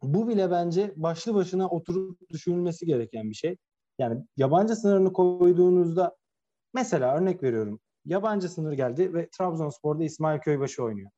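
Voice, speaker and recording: A slightly higher-pitched man with a soft, mellow tone co-hosts a football talk show. He argues his opinions in a casual, emphatic way, often restating his points. The sound is somewhat muffled and lo-fi. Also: animated, fairly quick. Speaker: football podcast co-host. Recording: dull, lo-fi sound.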